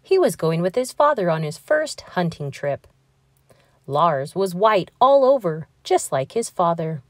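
A woman narrates a picture book for children. Her pitch moves around in an animated way, and her delivery is expressive and deliberate. The sound is dry and close. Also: dry recording. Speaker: storybook reader. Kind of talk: children's read-aloud narration.

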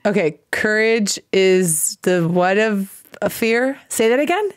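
An American woman in her forties, hosting a live video-call English lesson and mixing slow, careful phrasing with halting Portuguese. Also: mid-range voice, animated, dry recording, clean studio sound. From United States. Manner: switches between English and halting Portuguese, explains phrases slowly. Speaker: English teacher and host. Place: home room, seated at desk. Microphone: desk microphone on video call.

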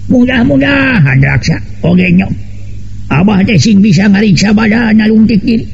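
High-pitched old village tough played by a male storyteller, humbled, gentle, repentant, dull lo-fi recording. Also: animated, normal speed, vocal fry, slight background noise. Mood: remorseful.

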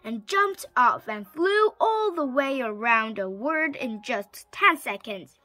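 A woman reads a picture book aloud in a high, very lively voice. She takes it slowly, with music in the background. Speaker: storybook reader.

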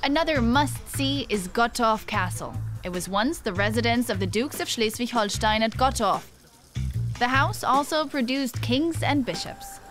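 A young adult woman presenting a travel show, speaking in clear, measured scripted narration. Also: a little high, expressive, a bit bright, faint music behind.

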